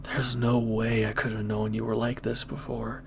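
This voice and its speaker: A man playing a former villain turned hesitant, conflicted lover, speaking earnestly and haltingly in an intimate audio roleplay. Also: mid-range voice, flat delivery, vocal fry, background noise, close to an everyday voice. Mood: regretful, earnest.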